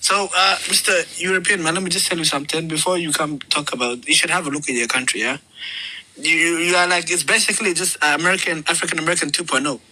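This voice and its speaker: A man's voice: on the high side, fast, sharp tone, slight background noise, rough recording. A man arguing heatedly in an online debate, dismissive and repetitive, often cutting in over others. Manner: argumentative, dismissive, repetitive, interrupting.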